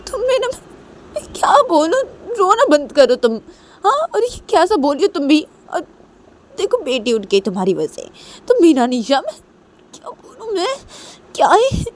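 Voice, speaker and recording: A woman voicing an exasperated, fretful woman who nags and hushes, repeating questions and pleas. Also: on the high side, a little fast, voice pushed up, lightly acted. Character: exasperated woman scolding and hushing her crying companion.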